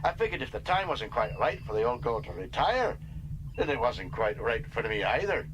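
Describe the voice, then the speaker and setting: A man's voice: mid-range voice, sing-song, moderate pace, noisy background, pitched above the performer's own voice, natural voice. A man voicing a hearty middle-aged ship's engineer with a Scottish accent in an audiobook. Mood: hearty, loyal.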